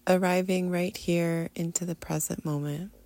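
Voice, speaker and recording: Low mellow lady voice doing guided meditation, soothing, bit of fry, trails off breathy. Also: fairly even intonation. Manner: soothing, unhurried, often trailing into breathy near-whisper. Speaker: guided meditation teacher, podcast host. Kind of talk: guided meditation, soft instruction.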